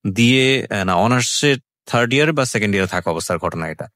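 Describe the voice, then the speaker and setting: A man's voice: fairly low pitch, expressive, fast talker, fairly bright tone, no echo, clean studio sound. A man with a Bangladeshi accent hosting a conversational radio interview, speaking earnestly and mixing in English words. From Bangladesh, outside Dhaka. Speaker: radio show host interviewing a guest.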